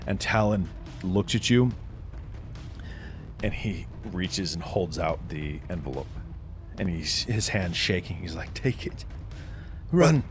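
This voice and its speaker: Male game master, lower register, vivid improvised storytelling with dramatic description, light music underneath. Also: takes it slowly, pauses often. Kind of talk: improvised tabletop game narration.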